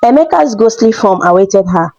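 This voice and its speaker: A woman's voice: medium pitch, lively intonation, normal speed, darkish tone, muffled recording. A woman narrator reading a scripted folktale in a storybook style.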